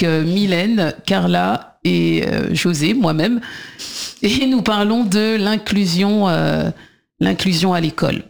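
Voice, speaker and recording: A woman's voice: medium pitch, creaky. A woman hosting a radio discussion, asking questions in a hesitant, word-searching conversational way. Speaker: radio host.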